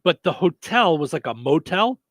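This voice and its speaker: A man's voice: a little high, sing-song, moderate pace, dry recording, studio quality. A man hosting a radio show in casual chat, a comedian telling rambling stories full of fillers and restarts. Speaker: stand-up comedian.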